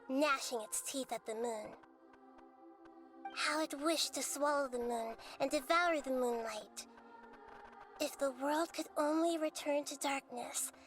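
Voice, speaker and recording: A high-voiced, childlike goddess speaks with gentle wisdom. Her delivery is solemn, caring and measured, like video game storytelling, with soft music underneath. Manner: measured, earnest, philosophical delivery. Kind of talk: scripted game dialogue and storytelling.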